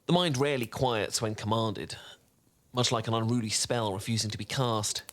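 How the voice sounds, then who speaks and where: A man playing a charming, learned wizard who tells a bedtime story in a soft, velvety, theatrical and slightly pompous voice, in a soothing fan roleplay. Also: lowish voice, close to an everyday voice. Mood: gentle, playful. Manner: theatrical, eloquent, unhurried, gently pompous.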